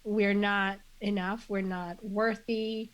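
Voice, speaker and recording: Slow, congested woman guest. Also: mid-range voice, flat delivery, muffled recording. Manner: earnest, measured, with many fillers and restarts. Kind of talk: reflective conversational interview.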